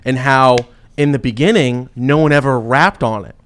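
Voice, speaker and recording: A man's voice: mid-range voice, normal speed, a little hiss or hum. A young man co-hosting a podcast, telling stories in casual slang and acting out quotes. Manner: animated storytelling with slang and acted-out quotes. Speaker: podcast co-host.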